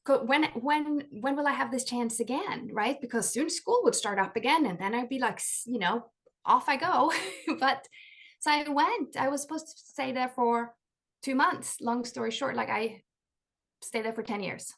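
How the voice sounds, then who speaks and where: A woman from Norway, a life coach and author, telling her story in a chatty interview, full of "like" fillers and quick laughs. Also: high voice, fairly bright tone. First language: Norwegian.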